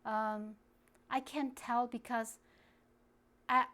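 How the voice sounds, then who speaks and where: A woman answers interview questions, her voice sitting a little high. She talks in a halting way, stopping to search for English words and starting over. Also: fairly even intonation, bright, forceful tone. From China. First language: Kazakh. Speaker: guest activist.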